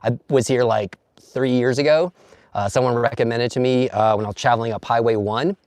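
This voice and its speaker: A man with a slightly hoarse, mid-pitched voice chats casually through his phone from a sunny campsite outdoors, greeting viewers by name and laughing easily. The sound is close and dry, with no room echo at all. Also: steady tone, a little fast, darkish tone. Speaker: vlogger. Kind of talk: casual live stream chat, reading comments. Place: outdoors at a campsite in bright sun.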